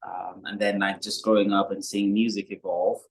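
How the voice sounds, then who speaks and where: A young man from Kenya, a musician guest, talking in a relaxed, rambling way with many fillers. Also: fairly low pitch, normal speed, low-quality recording. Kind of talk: casual interview chat.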